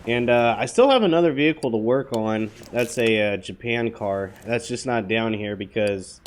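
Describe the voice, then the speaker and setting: A man with a slightly hoarse voice, mid-pitched and easygoing, talks his viewers through a game in a loose, meandering way. Faint hiss and clicks sit under his voice. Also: normal speed. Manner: rambling, offhand, full of fillers. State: hoarse from a cold. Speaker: gaming channel host. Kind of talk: casual gameplay commentary.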